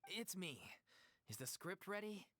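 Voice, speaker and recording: Lively, breezy young troupe man. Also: high-pitched, a little slow, a little hiss or hum, exaggerated voice. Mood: encouraging. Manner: casual, easygoing. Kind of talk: video game voice acting.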